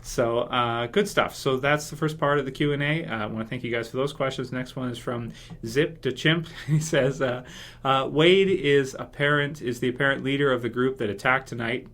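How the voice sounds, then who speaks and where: A man's voice: medium pitch, steady tone, moderate pace, a bit bright. A man hosting a casual fan commentary video, speculating in a hesitant, think-aloud way. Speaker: YouTube video host.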